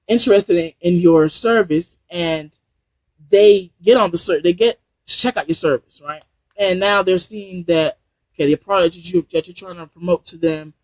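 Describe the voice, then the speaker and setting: A man's voice: sits high, dry recording, dull audio. A man from New Jersey giving rambling, motivational business advice on a casual live stream over a Bluetooth headset. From New Jersey, USA. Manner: rambling, repeats and restarts phrases, motivational. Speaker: online business trainer.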